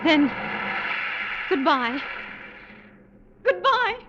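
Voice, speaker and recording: An actress playing a frightened, fragile young woman who speaks softly and hesitantly, in an old-time radio drama. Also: very high voice, very animated, very slow, loud music behind the voice, exaggerated voice. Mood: pleading. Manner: soft, pleading and hesitant. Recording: dull old radio recording.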